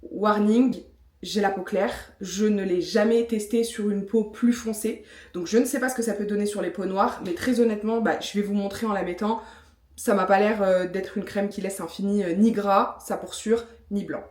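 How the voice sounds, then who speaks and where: A chatty woman in her thirties from France, talking straight to camera with lots of little fillers and playful self-mockery. Her voice sits at an ordinary middle pitch. The room around her rings with a noticeable echo. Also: fairly even intonation, moderate pace, a little mellow. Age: 30s. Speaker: vlogger. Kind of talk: casual vlog talk with product review. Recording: echoey room.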